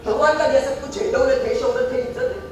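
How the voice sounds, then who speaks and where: A man playing an excitable young reluctant suitor with comic swagger, theatrical and exasperated in a stage play. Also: extremely high pitch, fast talker. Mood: boastful, comic. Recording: echoey stage, distant mic, background music.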